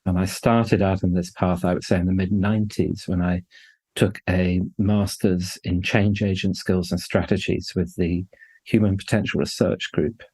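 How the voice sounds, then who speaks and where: A man's voice: deep voice, little pitch movement, moderate pace, a little mellow, husky, dry recording. A man in his sixties, a coach trainer, introducing himself thoughtfully on a podcast.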